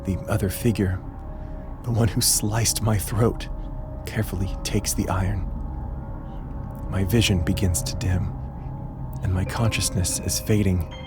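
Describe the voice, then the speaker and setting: A man's voice: deep voice, fairly even intonation, unhurried, pauses often. An actor playing a frightened, dying prisoner who recounts his last moments in a strained, dramatic first-person narration over music. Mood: fading.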